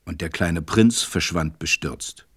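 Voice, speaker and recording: A man with a low voice narrates a storybook as part of a radio play. He tells it in a measured way, recorded close and dry. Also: fairly bright tone, slightly rough, dry recording.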